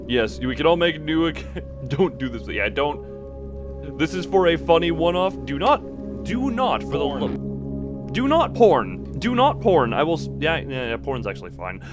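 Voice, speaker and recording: Animated higher-voiced man streaming over music. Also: measured pace. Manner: exclamatory, amused, playfully exasperated. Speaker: livestream host. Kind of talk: casual livestream chat. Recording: music behind the voice. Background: loud background music.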